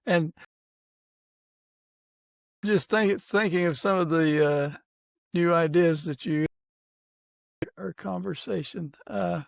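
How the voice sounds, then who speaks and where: A man speaks as a podcast host in an informal, conversational way. His pace is slow and halting, with a creaky edge to the voice. The sound is close and dry. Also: high voice, no echo. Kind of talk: conversational interview and informal prayer.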